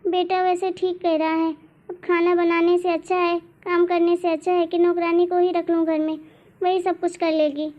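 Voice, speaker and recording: A very high-pitched older mother-in-law from a family cartoon, acted out by a woman. She frets and nags in a complaining way, and the sound is slightly dull and muffled. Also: little pitch movement, moderate pace. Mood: grudging. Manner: fretful, nagging. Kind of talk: Hindi cartoon family drama voice acting.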